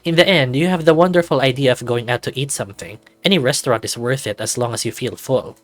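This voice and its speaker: A narrator reading a visual novel aloud in an expressive, playful way, with bits of casual commentary. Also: mid-range voice, quick pace, no room sound. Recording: dry recording with music behind.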